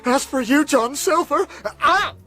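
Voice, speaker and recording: A man's voice: very high voice, lively intonation, a little slow, pushed and bright, soft background music, full character voice. An actor playing an honest, defiant young seaman in a radio drama, speaking with impassioned emphasis. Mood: defiant, shocked. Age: young adult.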